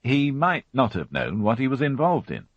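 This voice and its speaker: A man's voice: medium pitch, moderate pace, dry recording, voice pushed up. A man doing a patient, weary chief inspector. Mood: questioning. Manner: calm, level questioning. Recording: dull, dry studio audiobook.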